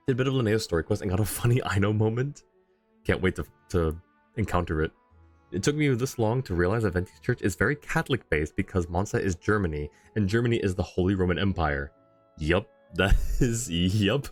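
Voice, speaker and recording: A man doing casual live-stream game commentary, rambling and thinking aloud as he chats with viewers. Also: lowish voice. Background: faint game music.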